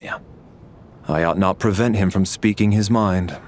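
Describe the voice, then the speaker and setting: A man playing a stern, dutiful military officer in a video game, speaking in a formal, measured way. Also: low voice, darkish tone, slight background noise. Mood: restrained. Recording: background music.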